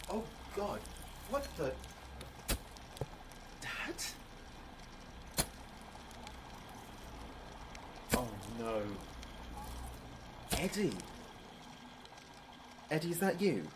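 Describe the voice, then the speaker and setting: An actor playing an alarmed, exasperated, frightened brother at a graveside, halting and exclamatory, in a horror audio drama. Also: male voice, fairly high pitch, sing-song, heavy background noise, exaggerated voice. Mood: uneasy. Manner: halting, exclamatory, emotionally raw.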